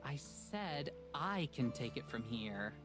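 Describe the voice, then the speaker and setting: A woman's voice: a bass voice, sing-song, takes it slowly, soft background music, heavily acted. An actress playing an aloof young woman in a visual novel, curt, casual and evasive. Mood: dismissive, defensive. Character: aloof, dismissive young woman avoiding a confrontation.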